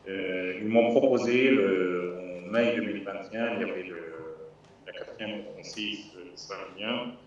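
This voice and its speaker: Palestinian man speaking over an echoey call. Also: low-pitched. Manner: halting, many restarts and fillers, earnest. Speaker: guest speaker on a live call. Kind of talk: informal live talk. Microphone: remote video call. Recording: distant, echoey, dull lo-fi call audio with faint noise.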